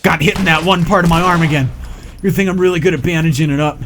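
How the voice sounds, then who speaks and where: A man's voice: on the high side, fairly even intonation, quick pace. A man streaming a game with a friend, joking around in casual chat with a tenor voice. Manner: jokey, laughs often, talks over his co-host. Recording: faint background noise.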